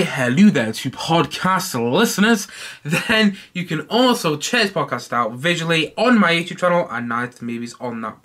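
Bright-voiced young man reviewing a film. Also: a little high. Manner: animated, waves his hands while talking. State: stuffy nose from hay fever. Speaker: podcast host. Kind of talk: casual solo film review podcast. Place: small home room full of collectibles.

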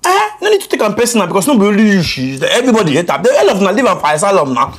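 Scoffing Nigerian man, talkative friend, high voice, husky, swooping intonation, comedic film dialogue. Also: very animated, racing. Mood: animated scoffing. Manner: exclamatory, repeats words for emphasis.

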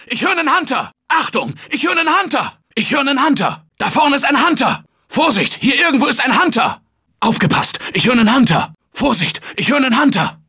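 A man's voice: extremely high pitch, sharp tone, slightly rough, full character voice. A man voicing a tense male survivor in a German game dub, barking urgent warnings and calls for help. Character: male game survivor, tense office worker fighting zombies. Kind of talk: game voice clips.

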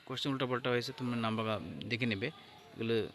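A man giving an informal lesson as an exam tutor, halting and repeating himself as he checks in with listeners. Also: mid-range voice, little pitch movement, a bit bright, slight background noise. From India. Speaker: exam tutor presenting answer keys.

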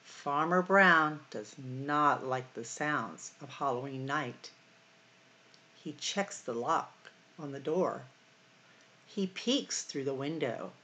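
A middle-aged woman hosting a library storytime, reading picture books aloud in a deliberate, expressive way for young children. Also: sits low, slight background noise. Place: small room at home or office, indoors.

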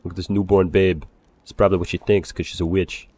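A man's voice: low-pitched, little pitch movement, fast, background noise. A man role-playing in casual improvised chat and storytelling, rambling and swearing freely as he goes. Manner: profane, rambling. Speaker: role-play gamer telling a story in character.

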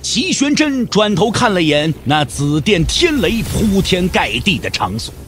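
A man's voice: high voice, sing-song, a little slow, fairly bright tone, a little hiss or hum. A man giving dramatic scripted narration for an audio drama, theatrical and emphatic.

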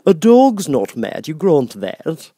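High, giggling mad cat. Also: male voice, wildly swooping pitch, mellow, no echo, clean studio sound, exaggerated voice. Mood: smugly teasing. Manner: drawn-out words broken by giggling and hums. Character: grinning, mad, languidly teasing male Cheshire cat.

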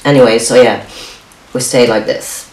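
A young man whose first language is Arabic, talking in a halting, hesitant way with many restarts in a confessional home vlog. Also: mid-range voice, fairly even intonation. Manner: halting, hesitant, soft-spoken with frequent restarts. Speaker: vlogger. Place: small home kitchen, indoors. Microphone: camera on a stand a few feet away. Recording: roomy distant camera mic with faint hiss.

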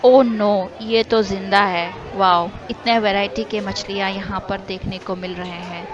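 A woman's voice: medium pitch, steady tone, unhurried, dull audio, crowd noise. A woman narrating a travel vlog as host in a chatty, enthusiastic way, switching between Hindi and English. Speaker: vlogger host. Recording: voice-over with clear background music.

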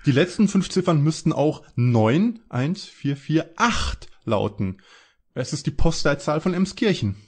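Mann spielt heiseren, quengeligen Jungdetektiv. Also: male voice, fairly high pitch, big pitch swings, unhurried, darkish tone, full character voice. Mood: helpful. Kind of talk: parody detective audio drama.

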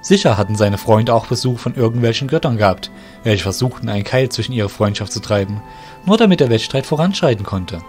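A man narrating an audio drama in a steady, measured way. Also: medium pitch, faint music behind.

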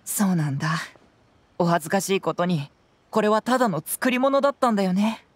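A woman voicing a clever, composed young male detective in a game, with polished, measured, theatrical delivery. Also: medium pitch, expressive, no room sound, lightly acted. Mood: sheepish. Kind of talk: Japanese game voice acting.

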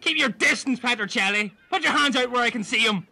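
A man's voice: very high voice, brisk, sharp tone, exaggerated voice. A man playing a hot-headed, paranoid castaway detective in a comic audio drama, bossy and over-dramatic. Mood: bossy, agitated.

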